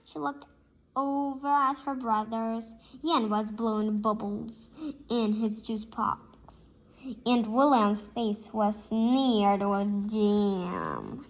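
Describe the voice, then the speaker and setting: A girl of about eight reads a storybook aloud, carefully and a little haltingly. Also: on the high side, fairly even intonation, rough recording. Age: child, about 8. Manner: halting, careful reading with slips.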